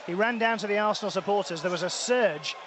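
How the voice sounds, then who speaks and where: A man reports live on a football match in a high-pitched voice. He is out at a stadium, with clear background noise around him. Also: fast, crowd noise. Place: outdoor stadium. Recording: loud outdoor stadium noise.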